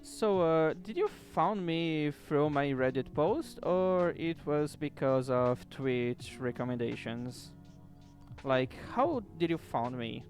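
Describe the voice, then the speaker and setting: A man's voice: a little high, expressive, slow pace, a little mellow. A man streaming a game late at night, chatting casually with viewers, with distinctive rolled R's and casual swearing. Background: faint background music.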